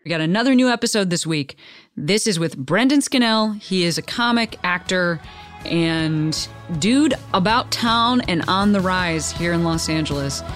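A woman's voice: lowish voice, animated, normal speed, soft background music. A woman from the Chicago suburbs hosting a podcast, chatty and joking at her own expense. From Chicago suburbs, USA. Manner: self-deprecating, chatty, joking asides. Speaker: podcast host. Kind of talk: casual chat.

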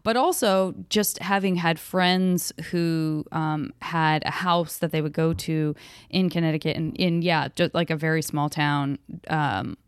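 A woman from Arizona hosts a relaxed conversation, her voice sitting a little low. She rambles warmly through her thoughts with frequent restarts. The sound is close and dry. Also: measured pace, clear, resonant tone, dry recording. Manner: chatty, rambling with many restarts. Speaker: podcast host. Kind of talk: casual interview chat.

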